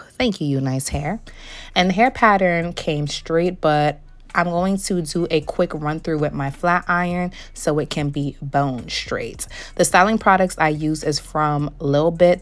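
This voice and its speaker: A young woman with a slightly hoarse voice, still recovering, walking through a wig review and install step by step. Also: low voice. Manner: step-by-step explaining, relaxed. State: hoarse, recovering from losing her voice. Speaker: beauty vlogger reviewing a wig. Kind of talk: product review voice-over.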